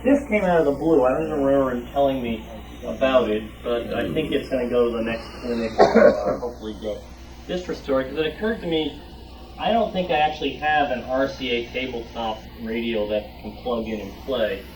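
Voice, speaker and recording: A man's voice: mid-range voice, brisk, recorded from a distance, background noise. A man speaking informally and telling anecdotes at a show-and-tell. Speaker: club member presenting his collection. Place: club meeting room. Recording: recorded from off the mic.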